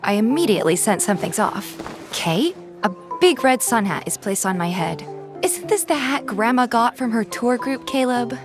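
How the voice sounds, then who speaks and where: A woman's voice: on the high side, animated, normal speed, over music, natural voice. An actress playing a sulky but fond young woman, narrating in the first person and acting out her grumbling lines. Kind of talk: first-person story narration with acted dialogue.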